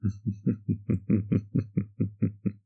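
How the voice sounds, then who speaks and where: A man performs a centuries-old male vampire barista for an intimate roleplay audio. His voice is deep and husky with a heavy gravelly fry. He teases with a possessive, quietly menacing charm, leaving soft hesitant pauses between phrases. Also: little pitch movement, phone-quality sound, exaggerated voice. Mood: teasing, seductive. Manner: slow, teasing, hesitant drawl with soft pauses.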